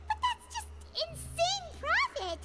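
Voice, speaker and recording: A woman voicing a small, excitable, greedy childlike companion in a video game, squeaky and speaking of herself in the third person. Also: slow, very noisy, full character voice. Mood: excited, greedy.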